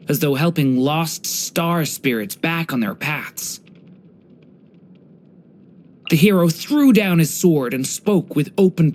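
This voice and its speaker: Game role: young dragon in boy's form, mid-range pitch, gentle, polite, curious and a little hesitant. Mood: gentle storytelling. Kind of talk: scripted game character voice lines.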